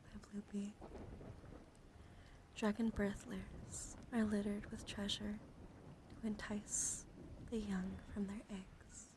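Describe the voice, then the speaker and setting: A woman hosting an ASMR livestream, chatting casually and gently to viewers in a soft, breathy voice that often drops to a whisper, affectionate and attentive. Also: mid-range voice, level tone, takes it slowly, long pauses, background noise. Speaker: livestream host.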